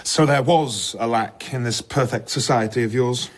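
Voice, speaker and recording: An actor playing a sceptical man in a radio drama, dryly probing and wryly disapproving, with a husky voice. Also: medium pitch, normal speed, close to an everyday voice. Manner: dry, questioning.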